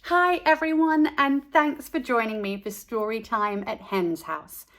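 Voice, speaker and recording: A woman's voice: a little high, a little slow, a bit bright. A woman hosting storytime and reading a children's picture book aloud as narrator.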